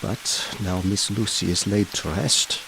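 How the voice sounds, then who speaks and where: An actor playing a learned, grave Dutch professor in an audio horror drama, speaking deliberately and cryptically in unidiomatic English. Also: male voice, low voice, steady tone, creaky, bright, forceful tone, a little hiss or hum, full character voice, low-quality recording. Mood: guarded, cryptic. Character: learned, grave foreign professor who hunts vampires.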